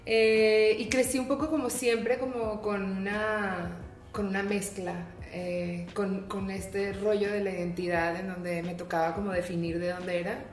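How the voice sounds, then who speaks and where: A young woman with a Colombian accent tinged with Mexican speech, a guest in a casual interview, playful and quick to laugh. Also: medium pitch, steady tone, reverberant. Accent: Colombian, mixed with Mexican. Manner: playful, laughs easily, chatty. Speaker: guest, actress. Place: living room, indoors. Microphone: stand mic on coffee table.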